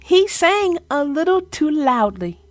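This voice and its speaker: Storybook young girl played by a woman, earnest and expressive, high voice, dry sound, telling a children's tale. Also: slow, dry recording, faint music behind, heavily acted. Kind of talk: children's storybook read-aloud.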